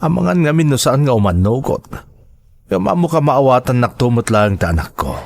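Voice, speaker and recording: A man playing a devoted, gentle father and dutiful son in a radio drama, speaking earnestly and coaxingly. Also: medium pitch, sing-song, a little fast, creaky, no room sound. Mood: earnest, hesitant.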